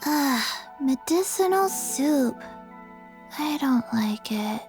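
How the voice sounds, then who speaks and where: A woman voicing a sleepy, blunt little undead girl in a video game, soft, childlike, halting and deadpan. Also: high-pitched, faint music behind. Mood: displeased. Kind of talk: video game character acting.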